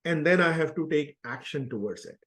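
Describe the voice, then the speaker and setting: A man speaking as an interview guest, explaining self-help ideas in an earnest, deliberate way and repeating key phrases. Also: a little high, expressive, fairly bright tone.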